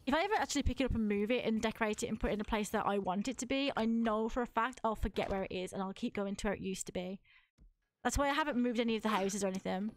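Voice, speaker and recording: A woman in her thirties with a mild cold, chatting casually to herself and her chat while playing a game at home on a headset. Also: on the high side. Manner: chatty, self-talking, giggly asides. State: has a mild cold, a little tired. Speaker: livestreamer. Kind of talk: casual gameplay commentary.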